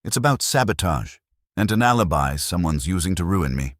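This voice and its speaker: A brisk, determined businesswoman in an audiobook detective story, voiced by a man. The delivery is clipped and decisive, low in pitch but lively in movement, and recorded dry. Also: no echo. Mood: urgent. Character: brisk, determined businesswoman in her late thirties.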